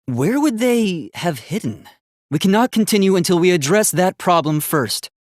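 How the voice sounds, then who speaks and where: A man's voice: high-pitched, lively intonation, moderate pace, dry recording, studio quality. A man voicing an earnest, logical young robot student in a video game, speaking precisely and formally. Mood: analytical. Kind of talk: English video game voice acting.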